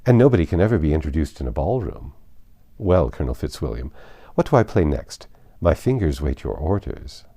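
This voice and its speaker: A man voicing a witty, playful young gentlewoman in a storybook reading, with an arch, articulate manner. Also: a bass voice, big pitch swings, brisk, full character voice. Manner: arch, teasing, formally articulate.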